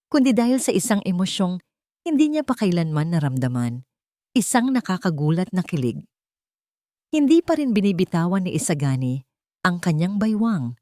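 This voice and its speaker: Low-voiced woman narrating romantic drama. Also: dark tone, no echo, studio quality. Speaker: story narrator.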